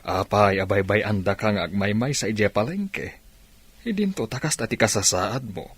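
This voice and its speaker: A man's voice: on the low side, lively intonation, normal speed, breathy edge, no echo. A man playing a kind, protective benefactor in an audio drama. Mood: kind, reassuring. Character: kind, protective man taking in a poor girl. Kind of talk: Filipino audio drama acting.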